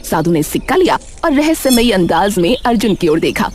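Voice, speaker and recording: A woman's voice: medium pitch, big pitch swings, a little fast. A woman reading scripted narration for a cartoon story as its storyteller. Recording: music behind the voice.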